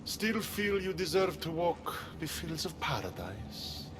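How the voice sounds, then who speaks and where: A man's voice: fairly high pitch, very animated, unhurried, over music. An actor playing a sardonic underworld villain in a video game, drawing out his mocking taunts. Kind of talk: video game cutscene acting.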